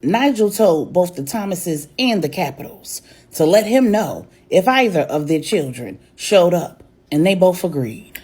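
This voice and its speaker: A woman's voice: lowish voice, expressive, measured pace, warm tone, husky. A woman narrating a dramatic serial story in a colloquial, emphatic way.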